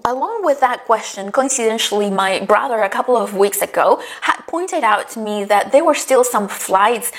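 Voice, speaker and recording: A woman's voice: fairly high pitch, lively intonation, moderate pace, few pauses. A woman from Chile talking to her viewers in a casual vlog, explaining travel matters step by step with frequent fillers. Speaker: travel vlogger.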